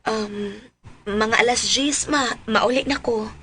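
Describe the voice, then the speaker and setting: A woman as an eager, high-voiced young Cebuano woman. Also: slow pace, a bit bright, slight background noise. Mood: earnest. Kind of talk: radio drama acting. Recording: music behind voice. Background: music.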